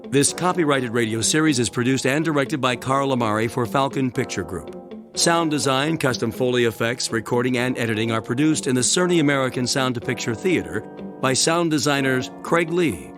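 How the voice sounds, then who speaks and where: A man reading scripted credits as the announcer of a radio drama. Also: medium pitch. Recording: music bed behind the announcer.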